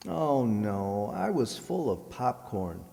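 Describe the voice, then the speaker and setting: A man's voice: on the low side, big pitch swings, takes it slowly, creaky, mellow, full character voice. A man playing a shy, earnest, oblivious suitor in a comedic old-time radio show, polite and awkwardly hesitant. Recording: hall-like, long reverb.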